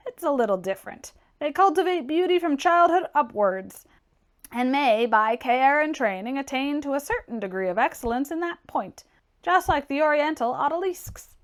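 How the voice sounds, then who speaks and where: A blunt, mocking young gentleman of trade from a classic novel, acted out by a woman reader. The pitch sits fairly high and swings with great animation. He is opinionated and brusque, throwing out scornful exclamations with emphasis. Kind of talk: dramatized audiobook character reading.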